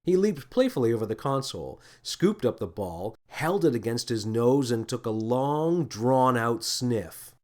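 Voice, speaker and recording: A man narrating a scripted children's story in an expressive, deliberate storytelling manner. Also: medium pitch. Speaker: author and narrator.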